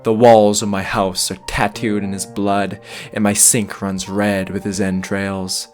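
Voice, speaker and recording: Male actor as grandiose mad killer, lowish voice, unhurried, florid gothic melodrama, faint music underneath. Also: flat delivery, natural voice. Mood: grim, reverent. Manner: melodramatic, pompous, archaic florid diction. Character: deranged, grandiose sandwich-shop murderer confessing his crime. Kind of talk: theatrical gothic horror monologue.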